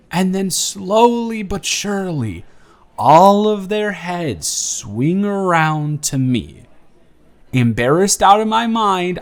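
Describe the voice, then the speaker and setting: A man's voice: on the high side, exaggerated voice. A man playing a giddy, smitten young man on a blind date, bantering playfully in a one-sided romantic audio roleplay. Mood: exasperated, embarrassed. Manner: animated, bantering, playful storytelling with stammers.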